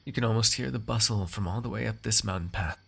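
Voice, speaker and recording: Male actor as possessive aristocratic master, low pitch, gravelly edge, formal condescending drawl, teasing, ASMR roleplay. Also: quick pace. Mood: commanding, inviting. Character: haughty, teasing noble master, smooth-tongued and possessive.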